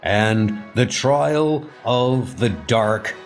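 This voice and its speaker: A man's voice: mid-range voice, lively intonation, drawn-out words. A man voicing a formal, grandiose Viking guardian of a sacred flame in an audio drama. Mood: solemn. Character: Onadir, solemn ceremonial Viking guardian of ancient flame. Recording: music under the voice.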